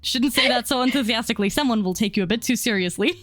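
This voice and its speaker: A woman's voice: on the high side, quick pace, fairly bright tone, no room sound. Playful, slangy woman host. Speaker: interview host. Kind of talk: casual interview chat.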